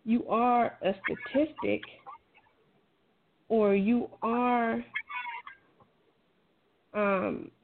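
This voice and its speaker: A woman's voice: fairly high pitch, fairly even intonation, drawn-out words, pauses often, narrow, tinny audio. Middle aged lady giving casual pep talk, emphatic and down to earth, sounds like a phone w/ background noise. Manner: emphatic, colloquial, encouraging. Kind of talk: casual conversational talk, advice.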